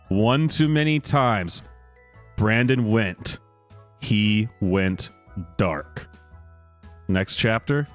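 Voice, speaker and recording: Low-voiced sarcastic man reading over music. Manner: sarcastic, theatrical pauses on dramatic phrases. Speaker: commentary host reading a news story aloud. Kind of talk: mock-dramatic read-aloud with sarcastic asides. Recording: music playing behind the voice.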